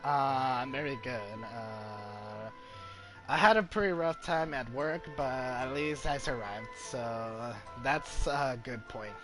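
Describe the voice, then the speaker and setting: A young man streaming a game, talking casually at a slow pace. He is hesitant and halting, with a medium-pitched voice over clearly audible game music. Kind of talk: casual livestream chat and commentary.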